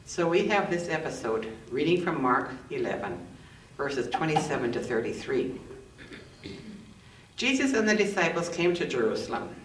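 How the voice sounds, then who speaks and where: Female church reader, measured delivery of scripture, recorded from a distance in a reverberant room. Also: sits low, very animated. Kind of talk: scripture reading with scripted introduction. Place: indoors in a church, roomy acoustic. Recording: roomy, distant microphone, faint noise.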